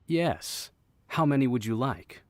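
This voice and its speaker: A man playing a courteous, formal gatekeeper with a deliberate manner in a video game. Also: medium pitch, very animated, no echo. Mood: polite, knowing.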